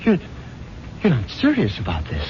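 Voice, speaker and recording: An American actor playing a scheming, exasperated husband in a 1970s radio drama, sardonic and theatrical. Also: male voice, lowish voice, wildly swooping pitch, moderate pace, darkish tone, a little hiss or hum. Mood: incredulous, exasperated. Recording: dull vintage radio broadcast, music behind.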